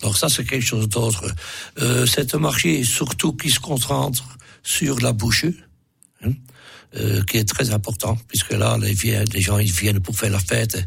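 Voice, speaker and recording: A man with a cold, speaking as a guest in an interview, hesitant and halting with many restarts. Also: medium pitch, fairly even intonation, fairly bright tone, no room sound. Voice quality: hoarse, gravelly. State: has a cold. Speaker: association president, interview guest.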